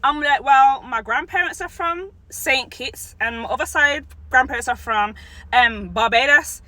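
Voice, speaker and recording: Bright-voiced English woman asking viewers. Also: sits high, unhurried, no echo. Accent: British English. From England. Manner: appeals to viewers, presses her point. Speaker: co-host of couple's channel. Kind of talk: playful couple's debate.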